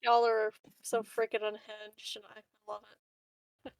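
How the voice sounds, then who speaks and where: A woman's voice: mid-range voice, monotone, slow pace, dry recording, rough recording. A woman playing a tabletop roleplaying game, chatting casually. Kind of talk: casual chat.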